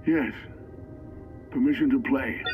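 A man's voice: medium pitch, slow, phone-quality sound, faint music behind, natural voice. A man playing a wary, exasperated everyman on the run in an audio drama, informal and questioning. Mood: relieved, focused. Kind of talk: scripted audio drama acting.

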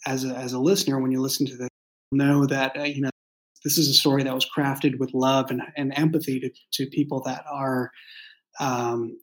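A man in a casual podcast discussion, speaking as a creator and writer in a halting way, searching for words. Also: medium pitch, rough recording. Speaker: audio drama creator and writer.

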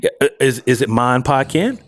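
A man co-hosting a casual podcast, his pitch swinging widely as he fires off questions and laughs along the way. The sound is dry and close, with no room behind him. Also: fairly quick, dry recording. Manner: animated, questioning, laughs often. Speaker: podcast co-host. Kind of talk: casual pop culture chat.

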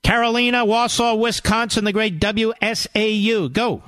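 A man's voice: high voice, steady tone, moderate pace, a bit bright, dry recording, studio quality. A middle-aged man hosting a call-in radio talk show, arguing emphatically and lecturing his callers.

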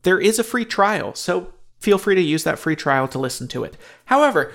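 A man's voice: sits high, very animated, moderate pace, vocal fry, a bit bright. A man reading a scripted podcast introduction and promo as the show's host.

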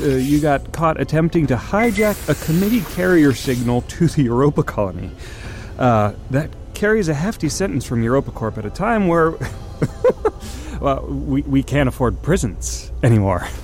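An actor playing a falsely affable corporate official, halting and casual, in an audio drama. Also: male voice, fairly high pitch, sing-song, faint music behind. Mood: falsely friendly. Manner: halting, casual, chuckling under threats. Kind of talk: naturalistic audio drama acting.

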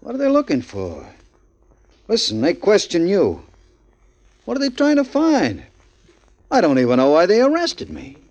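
A man's voice: high voice, huge pitch swings, normal speed, gravelly, pauses often, darkish tone, exaggerated voice. An actor playing a nervous, shifty pool hall owner in his forties who stammers through anxious questions, in 1940s radio acting. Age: 40s. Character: big fortyish pool hall owner, nervous, then menacing. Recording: dull lo-fi 1940s radio recording.

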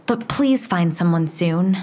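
An actress playing a young nanny and student, upset but kind, in an audio drama. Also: female voice, fairly low pitch, animated, slow, mellow, a little hiss or hum. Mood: upset, reluctant.

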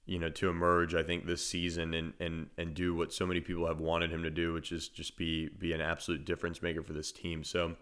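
Deep-voiced man hosting a sports podcast. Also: completely flat delivery, fairly quick, few pauses, a bit bright, clean tone. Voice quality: naturally deep voice. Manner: conversational, analytical, frequent verbal restarts. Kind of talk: solo podcast commentary and storytelling.

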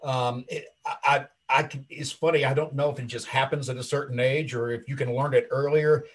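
A man in his late fifties with a husky voice, chatting casually and talkatively as an interview guest, self-assured and full of anecdotes. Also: medium pitch, fairly even intonation, brisk. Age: late 50s. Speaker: interview guest, wrestling commentator and video creator.

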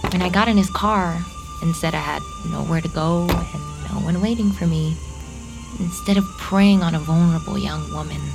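A jaded, low-voiced vampire. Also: female voice, gravelly, music in the background, low-quality recording. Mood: wistful, cool. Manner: deadpan, dry, unhurried. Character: ageless, jaded female vampire, dry and haughty. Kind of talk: naturalistic audio drama acting.

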